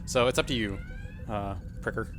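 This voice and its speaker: A man's voice: fairly low pitch, normal speed, fairly bright tone. A man chatting casually and jokingly as he plays a tabletop roleplaying game. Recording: faint background noise.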